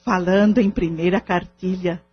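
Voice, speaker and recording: A woman playing a calm, devout spiritist group leader in an audio drama, husky and measured, with formal, counselling words. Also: lowish voice, muffled recording, a little hiss or hum, lightly acted.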